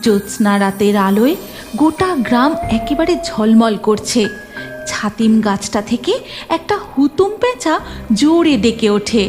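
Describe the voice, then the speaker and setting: A woman narrates a storybook in an expressive, sing-song way. Her voice sits high and swings widely. Music plays clearly behind her. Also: measured pace. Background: background music.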